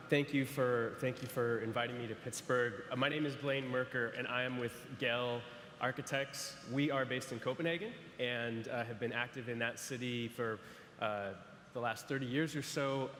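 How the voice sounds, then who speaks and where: A man in the middle of his pitch range speaks to an audience in a large echoey hall with a long reverb tail. He talks haltingly, with repeated words and "uh" fillers. Faint noise sits under the voice. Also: fairly bright tone. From San Francisco. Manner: hesitant, frequent restarts and fillers. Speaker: architect presenting to an audience. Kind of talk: conference presentation.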